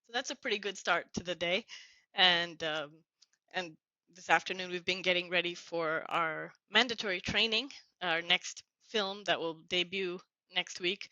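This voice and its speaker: Low, bright woman on a call. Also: moderate pace, dry recording, highs cut off. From United States (New Jersey). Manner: warm, earnest, grateful tone. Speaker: guest. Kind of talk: reflective conversational storytelling.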